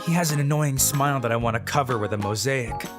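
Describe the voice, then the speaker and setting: A man's voice: mid-range voice, fast, over loud music, natural voice. A man voicing a dry, secretly genius male student narrating his thoughts in a manga dub. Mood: deadpan. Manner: deadpan inner monologue.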